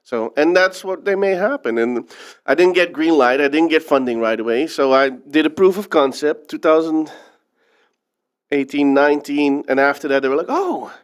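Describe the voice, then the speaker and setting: A man's voice: fairly high pitch, moderate pace, smooth. A man from the Netherlands, a filmmaker guest on an interview, talking in an earnest, reflective storytelling way. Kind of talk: interview conversation.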